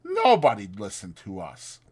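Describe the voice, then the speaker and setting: A man's voice: fairly low pitch, wildly swooping pitch, measured pace, a little mellow, husky, heavily acted. A man voicing an anxious, kindly old ranch hand with folksy rural speech in a dramatized audiobook. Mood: quiet protest. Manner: folksy rural American dialect.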